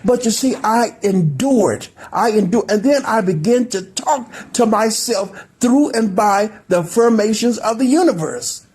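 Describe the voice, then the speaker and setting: A woman's voice: on the high side, expressive, unhurried, few pauses, darkish tone, breathy edge. A woman in her thirties giving an earnest, preachy pep talk, often repeating her phrases for emphasis. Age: 30s. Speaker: spiritual group team member. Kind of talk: encouraging monologue.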